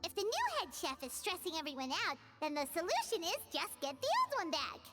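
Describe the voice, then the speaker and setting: A tiny, excitable fairy companion squeaks in a very high, childlike voice. Her pitch bounces around with indignant energy, like an anime game sidekick, over loud music. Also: fairly quick, exaggerated voice. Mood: eager. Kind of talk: anime-style video game voice acting.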